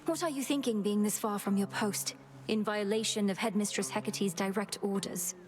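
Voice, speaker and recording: A woman's voice: medium pitch, normal speed, darkish tone, natural voice. A woman playing an earnest, dutiful young witch princess in a video game, speaking formally and with determination. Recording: music underneath.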